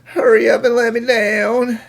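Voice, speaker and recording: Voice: mid-range voice, level tone, a little slow, creaky. An actor playing a timid, whiny, emotional young male farmer in a cartoon fan dub, plaintive and hesitant. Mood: scared, whining.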